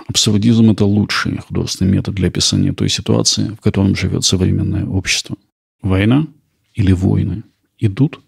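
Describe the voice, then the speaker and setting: A man's voice: low voice, vocal fry, a little mellow, husky, no room sound, clean studio sound. A man hosting a podcast, reading scripted documentary-style narration. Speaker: podcast host.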